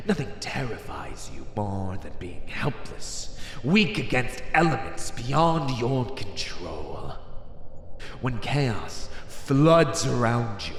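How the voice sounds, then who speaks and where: A man playing a teasing, domineering villain warlord in an ASMR roleplay, speaking deliberately with theatrical menace and condescension. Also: medium pitch. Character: male villain warlord ruler, teasing, domineering, coldly persuasive. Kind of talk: ASMR roleplay, dramatic monologue. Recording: echoey hall reverb, faint noise.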